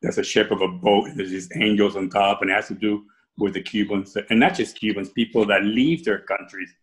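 Low-voiced middle-aged man, rambling. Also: fairly even intonation, brisk. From Cuba. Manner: rambling, many restarts and fillers. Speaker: artist being interviewed. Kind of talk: casual interview conversation.

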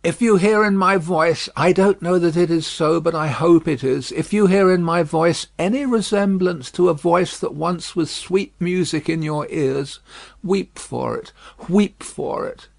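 An earnest young woman pleading tenderly, as a male reader puts her on, her voice pitched up and pressed forward with feeling. She implores over and over, soft yet insistent. The reading sits dry and close to the mic. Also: measured pace, gravelly, no room sound. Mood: imploring. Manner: earnest, imploring, repetitive pleas.

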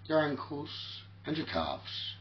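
Male sleep-talkdown host, soothing and slow, repetitive phrasing with long gaps, very varied intonation, dull lo-fi audio. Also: on the high side, very animated, a little hiss or hum. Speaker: guided meditation host. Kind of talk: guided sleep meditation, spoken relaxation talkdown.

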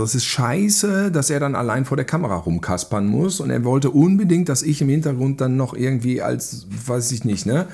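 A man with a husky, gravelly voice at an average pitch. He talks casually as an interview guest. His stories ramble along, often capped with a short tag question. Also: a little mellow. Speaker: guest, film director and cameraman. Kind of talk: casual podcast conversation, anecdotal.

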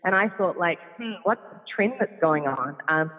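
A man's voice: fairly high pitch, expressive, moderate pace. A man with a New Zealand accent, chatting as a podcast guest, laughing often and restarting his sentences. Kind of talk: casual interview talk. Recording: phone-like line with long reverb.

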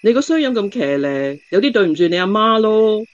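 A woman's voice: mid-range voice, big pitch swings, a little fast, lightly acted. An actress plays a cool young woman with a Hong Kong accent and no patience for nonsense. She teases bluntly in radio-drama style. The sound is a little muffled. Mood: mocking, blunt. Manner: blunt, sharp-tongued, teasing. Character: blunt, aloof young woman stargazer called "big sister". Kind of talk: Cantonese radio drama acting.

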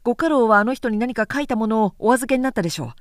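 A woman's voice: a little high, expressive, racing, no room sound, studio quality, close to an everyday voice. A woman voicing a blithe young samurai gossip in an audiobook, chattering bluntly and breezily. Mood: breezy, gossipy.

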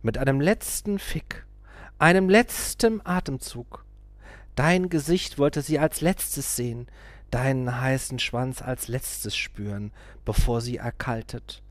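A man's voice: medium pitch, animated, dry recording. A man voicing a sneering old guru. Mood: whispering. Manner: slow, mocking, whispered urgings. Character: sneering old male guru, mocking and menacing.

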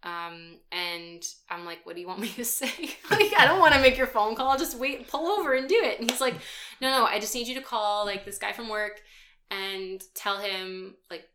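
A woman's voice: mid-range voice, animated, normal speed, pushed and bright. A woman in her twenties co-hosting a podcast, telling stories in a chatty way with lots of fillers. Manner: chatty storyteller, many fillers and laughs. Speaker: podcast co-host. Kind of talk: casual chat.

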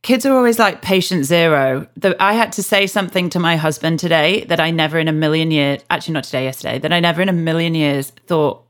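A woman's voice: sits low, fast talker, few pauses. A woman co-hosting a podcast, chatting frankly and mocking herself with easy humor. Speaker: podcast co-host. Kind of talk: casual chat.

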